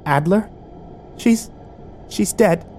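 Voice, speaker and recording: A man voicing a fierce, commanding young woman with a sharp, demanding manner in a story reading. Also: sits high, very animated, moderate pace, slight background noise, voice pushed up. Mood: furious, urgent. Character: fierce, hot-tempered red-haired young woman, commanding and stubborn.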